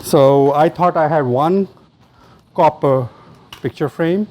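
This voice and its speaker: A man's voice: on the high side, takes it slowly, darkish tone, slight background noise. A man hosting a treasure-hunt vlog, talking casually and off the cuff in short fragments as he sorts through finds. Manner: muses aloud in short fragments. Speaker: host of a storage locker treasure-hunt vlog. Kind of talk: casual unscripted commentary while sorting through finds.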